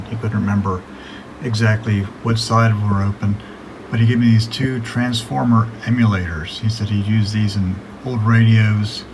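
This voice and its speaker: A man with a gravelly voice narrating a casual hands-on video, hesitantly thinking aloud as he works. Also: on the low side, background noise. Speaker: hobbyist radio restorer narrating own video.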